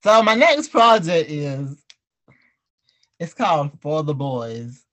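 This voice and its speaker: A man's voice: on the high side, sing-song, slow pace, fairly bright tone. A man hosting a casual talk show, chatting in a playful, teasing, slangy way. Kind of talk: casual chat with guests.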